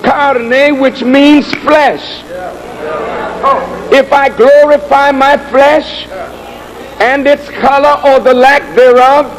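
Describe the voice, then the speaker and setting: A man preaching a sermon in an emphatic, deliberate oratorical manner, building with repetition and rhetorical questions. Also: very high voice, fairly even intonation, low-quality recording. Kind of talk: sermon, oratorical public address.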